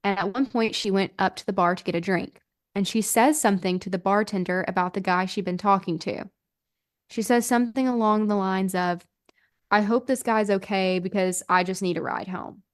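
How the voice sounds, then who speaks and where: A woman's voice: mid-range voice, fairly even intonation, normal speed. A woman hosting a true-crime podcast, telling the story in a casual, conversational way peppered with "like". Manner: informal, hedging, filler-heavy with "like".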